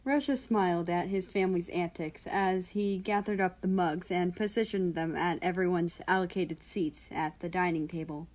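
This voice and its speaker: A woman reading fiction aloud as an expressive narrator of a story. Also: fairly low pitch, normal speed, few pauses. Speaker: fan fiction reader.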